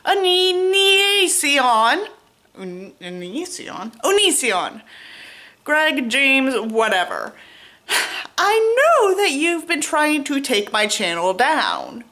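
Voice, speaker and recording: A woman speaks straight to her audience in a theatrical, deliberate way. Her pitch is notably high and varied, and she takes her time with each phrase. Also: sing-song, sharp tone. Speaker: YouTuber.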